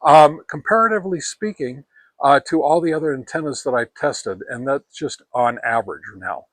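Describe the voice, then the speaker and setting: An older man of about seventy gives a casual product review to camera, speaking haltingly and often correcting himself. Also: medium pitch, fairly bright tone, no echo. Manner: halting, hesitant, self-correcting delivery. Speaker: ham radio gear reviewer. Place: outdoors on a wooden deck among trees.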